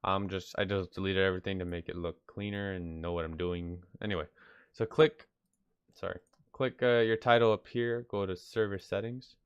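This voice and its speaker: A man with a slightly nasal, congested voice from being sick, rambling offhandedly through an unscripted software tutorial. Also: sits low, fairly even intonation, normal speed, smooth, no echo, lo-fi sound. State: sick with stuffy nose. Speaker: video tutorial host. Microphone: close mic, screen-recording voice-over.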